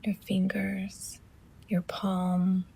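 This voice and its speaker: A woman guiding a relaxation meditation as a yoga teacher, in a hushed, breathy near-whisper, gently and with long pauses between phrases. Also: mid-range voice, monotone, a little hiss or hum.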